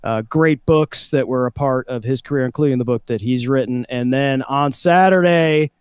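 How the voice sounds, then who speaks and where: A man's voice: medium pitch, normal speed, clear, resonant tone, no echo, studio quality. A man with a Southern US accent co-hosting a livestream, rambling casually with lots of fillers. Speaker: co-host of a livestream show.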